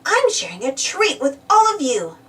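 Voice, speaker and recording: A woman voicing an excited, eager young female puppy in a children's storybook. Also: high voice, moderate pace, distant mic, exaggerated voice.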